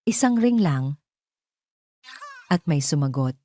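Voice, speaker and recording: A woman's voice: sits low, animated, takes it slowly, dark tone, a little hiss or hum. A woman with a Filipino accent reading a scripted, dramatic story as an audio drama narrator.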